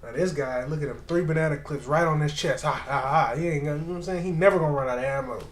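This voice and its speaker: A man hosting a reaction video, talking in a casual, animated, slangy way and repeating himself for emphasis. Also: fairly high pitch, racing, reverberant.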